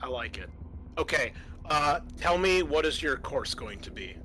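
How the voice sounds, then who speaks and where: A man acting as game master, narrating a tabletop roleplaying game in a halting, improvised way. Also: a little high, animated, pushed and bright, slight background noise.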